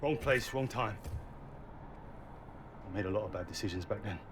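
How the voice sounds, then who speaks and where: An actor playing a weary, defensive prison inmate with a violent past in a cinematic video game, wry and streetwise. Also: male voice, fairly low pitch, moderate pace, slightly rough. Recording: game audio with music behind. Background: music.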